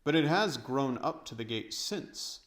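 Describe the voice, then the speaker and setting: A man's voice: mid-range voice, expressive, a little slow, a bit bright. A man voicing a confident elder schoolboy who leads and reassures, in a storybook. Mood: thoughtful.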